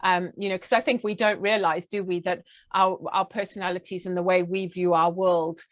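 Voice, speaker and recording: A woman's voice: on the low side, flat delivery, normal speed, dry recording. A woman from Zimbabwe with a southern African accent, speaking conversationally as an interview guest and health coach.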